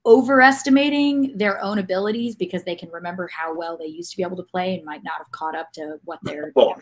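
Woman, middle pitch, casual podcast panelist, articulate, analytical, long winding sentences. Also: fast talker. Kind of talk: casual soccer discussion.

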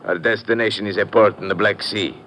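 Man acting a sharp-voiced, suave radio villain. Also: fairly low pitch, steady tone, brisk, close to an everyday voice. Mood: coolly polite. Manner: formal, coolly polite, threatening. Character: suave, menacing male villain with a gun. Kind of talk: 1950s radio drama acting. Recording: noisy vintage radio recording.

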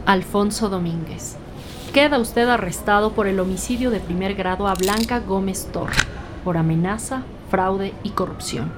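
A woman playing a firm, authoritative special agent who questions formally and deliberately in a crime audio drama. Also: medium pitch, noisy background, close to an everyday voice. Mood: commanding.

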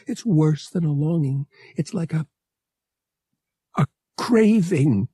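Man voicing an anguished, breathy young woman. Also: on the high side, expressive, dark tone, no room sound, clean studio sound, pitched above the performer's own voice, heavily acted. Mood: anguished, yearning. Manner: halting, pleading, emotional. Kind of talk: audiobook character voice.

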